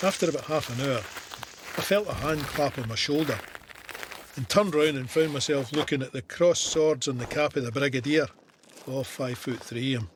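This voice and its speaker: An actor with a Scottish accent playing a soldier who narrates his war memoir in a measured, vivid, plain-spoken way. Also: medium pitch, noisy background, natural voice. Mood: warm, reflective. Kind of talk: scripted first-person war memoir narration.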